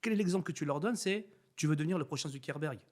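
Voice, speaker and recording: Fast-talking Frenchman telling his story. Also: on the high side, animated. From France. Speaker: podcast guest, entrepreneur. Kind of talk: conversational interview storytelling.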